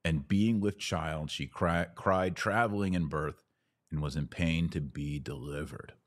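A man hosting a podcast, giving an emphatic theological teaching talk with rhetorical questions and dramatic pauses. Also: a bass voice, steady tone. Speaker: podcast host.

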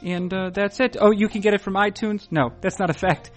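A man hosting a podcast, talking in a dry, wordy, formal deadpan. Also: sits high, brisk, faint music behind. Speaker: podcast host. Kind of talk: deadpan scripted intro and ad read.

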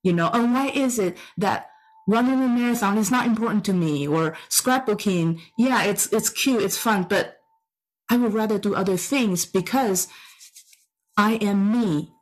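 A woman's voice: mid-range voice, unhurried, poor audio. A middle-aged woman from Taiwan, a native Chinese speaker with a Taiwanese accent, tells personal anecdotes in an emphatic way, repeating phrases for effect. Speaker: storyteller giving a personal talk.

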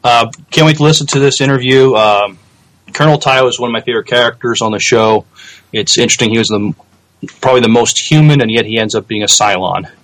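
A man co-hosting a podcast in casual chat, halting often as he searches for his words. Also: mid-range voice, fairly bright tone, poor audio. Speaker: podcast co-host.

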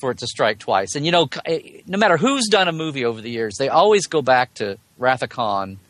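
A man's voice: medium pitch, big pitch swings, fairly quick, a bit bright, dry recording. A man in a casual fan discussion as a podcast guest, talking in a digressive, colloquial way and often rephrasing mid-thought. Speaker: podcast guest, Star Trek blogger.

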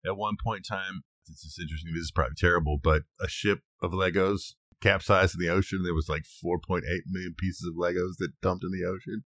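A man's voice: low voice, steady tone, fast talker, few pauses, fairly bright tone, dry recording. A middle-aged man co-hosting a podcast, casually sharing facts in an informal, loosely structured way. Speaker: podcast co-host.

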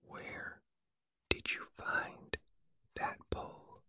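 A reader whispering the lines of a kind, excited young boy in a storybook. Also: drawn-out words. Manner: warm, enthusiastic.

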